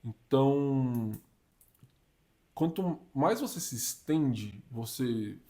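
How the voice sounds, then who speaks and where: Slangy, animated young Brazilian man. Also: medium pitch, drawn-out words. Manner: slangy and animated, uses "tá ligado", "mano", "papo reto". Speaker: career vlogger giving communication tips. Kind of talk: casual advice vlog.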